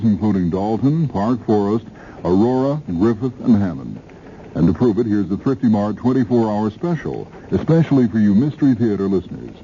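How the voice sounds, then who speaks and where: An American man reads a 1970s supermarket spot as a station announcer. His voice is very dark and somewhat low, with scripted sales phrasing. The old broadcast is dull and faintly noisy. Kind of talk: 1970s radio supermarket advertisement.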